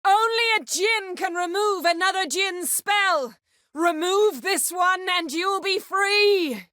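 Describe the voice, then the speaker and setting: A woman voicing a proud, sharp-tongued sorceress in a video game, speaking in a cool, measured, sardonic and commanding manner. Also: extremely high pitch, no echo, studio quality, exaggerated voice. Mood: urgent, shouting.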